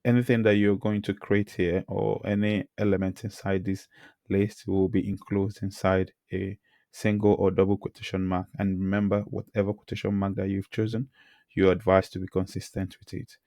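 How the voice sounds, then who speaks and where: A hoarse man who says he has lost his voice, teaching programming and talking through the code he types, often clearing his throat. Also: deep voice, little pitch movement, normal speed, darkish tone, no room sound, studio quality. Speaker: programming tutor. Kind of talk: screen-recorded coding tutorial.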